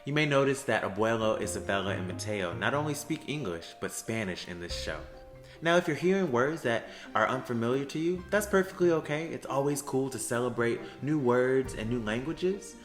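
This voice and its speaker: A man's voice: medium pitch, lively intonation, moderate pace. A man working as a theater education associate gives upbeat, friendly explanations to students. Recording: roomy echo with soft music.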